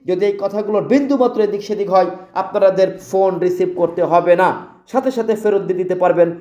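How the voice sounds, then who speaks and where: A man with a Bangladeshi accent delivering an emphatic, repetitive promotional sales pitch as a product advertiser. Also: warm tone. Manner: emphatic, repetitive, listing features.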